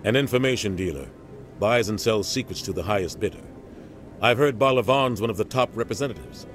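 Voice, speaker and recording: A man's voice: on the low side, expressive, brisk, lightly acted. A man playing a middle-aged navy captain in a video game, grave, embittered and emphatic. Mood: matter-of-fact. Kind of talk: video game cutscene acting. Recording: music behind game dialogue.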